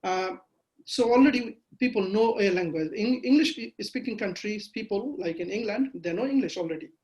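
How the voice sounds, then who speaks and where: A man from Bangladesh, a Bengali speaker, giving a measured webinar lecture over a video call with frequent fillers. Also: high-pitched, lively intonation, a little mellow, lo-fi sound. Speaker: guest lecturer.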